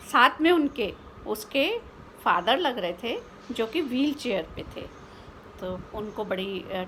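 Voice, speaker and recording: A woman's voice: fairly high pitch, fairly bright tone, a little hiss or hum. A woman with an Indian accent telling a children's story informally, explaining as she goes with rhetorical questions and mixed-in English words.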